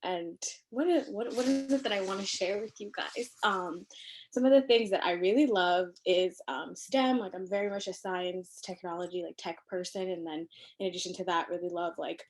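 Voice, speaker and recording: A woman's voice: fairly low pitch, normal speed. Chatty young woman from Ethiopia. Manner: chatty, animated, lots of fillers and laughter. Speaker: podcast guest. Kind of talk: casual conversation. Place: living room at home.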